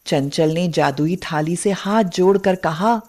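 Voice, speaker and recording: A woman narrating a scripted moral story in an expressive, deliberate storytelling manner. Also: on the low side.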